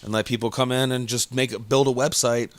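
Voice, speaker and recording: A man's voice: mid-range voice, fairly even intonation, brisk, no echo. Rambling casual man, dry close sound. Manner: rambling, lots of fillers and restarts. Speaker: podcast co-host. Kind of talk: casual chat.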